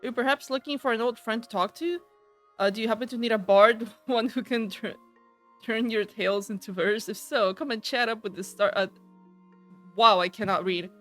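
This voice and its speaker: A woman's voice: on the high side, normal speed, a bit bright, soft background music. A woman streaming a game, casually reading dialogue aloud and reacting in an irreverent, slangy, sweary way.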